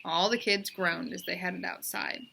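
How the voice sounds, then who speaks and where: A woman reading a children's storybook aloud in expressive narration. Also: on the low side, measured pace, creaky, a little hiss or hum. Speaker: storybook reader.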